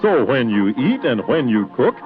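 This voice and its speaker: A man's voice: mid-range voice, big pitch swings, moderate pace, phone-quality sound. A man singing an advertising jingle in 1940s radio style. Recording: thin 1940s radio recording under loud organ. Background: Hammond organ music.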